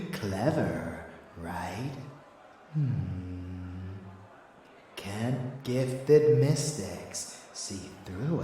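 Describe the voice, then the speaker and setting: A man playing a rebellious, possessive and seductive vampire lover in an ASMR audio roleplay, teasing and bloodthirsty in a drawn-out, intimate delivery. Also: deep voice, dark tone, heavily acted. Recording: echoey, distant, faint background noise.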